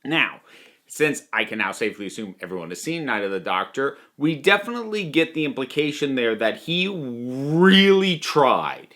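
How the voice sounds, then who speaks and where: A man giving a conversational review, stressing words emphatically with dramatic pauses. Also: mid-range voice, expressive, a bit bright.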